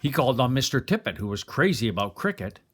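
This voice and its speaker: Guy reading a kids picture book out loud, expressive, voice goes up and down loads. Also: mid-range voice, fast, slightly rough. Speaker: storybook reader. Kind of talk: expressive children's story narration.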